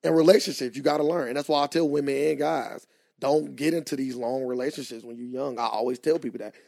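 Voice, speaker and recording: A man, co-hosting a casual podcast, animated and quick to laugh as he makes his points. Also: mid-range voice, creaky, no room sound, studio quality. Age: 20s. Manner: animated, laughs often, drawn-out emphatic points. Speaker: podcast co-host.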